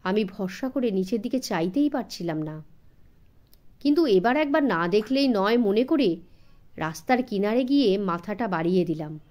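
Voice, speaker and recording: A woman's voice: mid-range voice, expressive, normal speed, darkish tone. A woman, narrating a story expressively as an audiobook for children.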